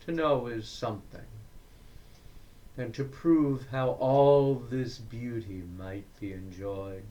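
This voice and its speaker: A man giving a dramatic recitation of Victorian verse, deliberate and declamatory, breaking the lines into short phrases with pauses. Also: mid-range voice, warm tone, a little hiss or hum. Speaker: reader of poetry.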